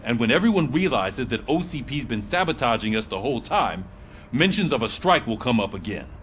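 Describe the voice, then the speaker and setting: Wary game cop, lively intonation, probing, conversational, faint rumble behind. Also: male voice, a little fast. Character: skeptical, probing male police colleague. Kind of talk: video game cutscene acting.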